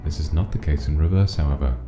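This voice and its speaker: A man playing a kindly, patient old monster king, speaking gently and reassuringly in a comic dub. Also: extremely low pitch, fast talker. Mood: measured, pensive. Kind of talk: comic dub acting with reflective narration. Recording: roomy, clear music underneath.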